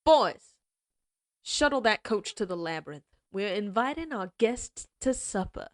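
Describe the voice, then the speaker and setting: A woman playing a menacing female outlaw leader who taunts her captives in a slow, mocking drawl. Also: mid-range voice, expressive, no room sound, clean studio sound, close to an everyday voice. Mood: taunting. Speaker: voice actress. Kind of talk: audio roleplay acting.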